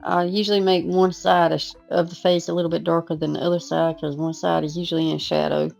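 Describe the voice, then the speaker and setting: A woman who is losing her voice, hoarse and chatty, talking through a casual painting lesson over soft guitar music. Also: fairly low pitch, little pitch movement, fast. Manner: casual, chatty, self-deprecating. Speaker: art teacher. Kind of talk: relaxed painting tutorial voice-over.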